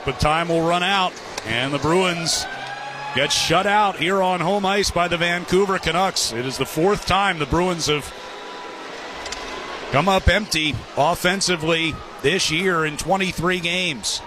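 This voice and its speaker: High-pitched man calling hockey on radio. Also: a little slow, background noise. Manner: clipped phrases. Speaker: radio play-by-play announcer. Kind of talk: live ice hockey commentary.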